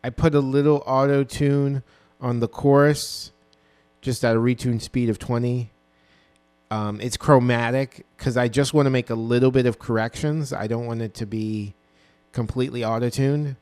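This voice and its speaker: A man in his twenties with a low voice, casually walking through his own song mix with plenty of ums and self-corrections, recorded on a dynamic mic in a small home studio. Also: moderate pace, smooth. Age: 20s. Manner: relaxed, rambling, full of ums and self-corrections. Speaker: music producer. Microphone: dynamic broadcast mic.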